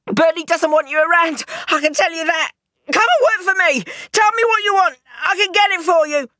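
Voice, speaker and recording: A woman's voice: extremely high pitch, animated, normal speed, bright, forceful tone, no room sound, studio quality, voice pushed up, full character voice. A woman voicing a panicked male gang boss who gabbles and pleads, then turns cold. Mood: desperate pleading.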